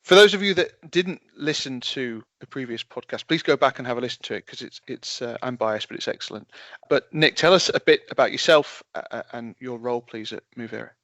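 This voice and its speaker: A man hosting an interview podcast, chatting in a friendly way and trailing off quietly at the ends of phrases. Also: medium pitch, a little fast, dry recording. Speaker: podcast host. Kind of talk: conversational interview. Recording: dull, dry lo-fi sound.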